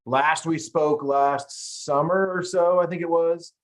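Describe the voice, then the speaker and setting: A man's voice: a little high, fairly even intonation, slow pace. A middle-aged man hosting a podcast, chatting casually and rambling into playful stories. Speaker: podcast host. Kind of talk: casual interview chat.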